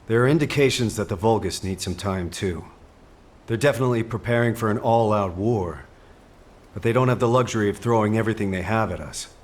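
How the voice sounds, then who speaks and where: A man playing a composed, caring military officer in a video game, giving grave briefings in a measured, formal, earnest way. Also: fairly low pitch. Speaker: mission commander giving briefings. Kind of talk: scripted video game dialogue.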